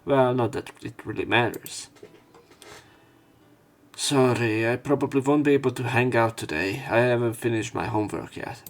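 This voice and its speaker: Male let's play narrator, mid-pitched, reads visual novel lines and adds commentary. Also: normal speed, creaky. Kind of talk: reading visual novel text aloud with commentary.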